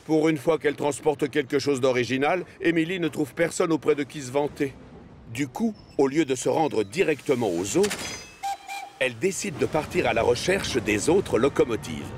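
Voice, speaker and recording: A man narrating a children's cartoon story from a script, as an expressive storyteller. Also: mid-range voice, normal speed, background noise. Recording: music behind the voice.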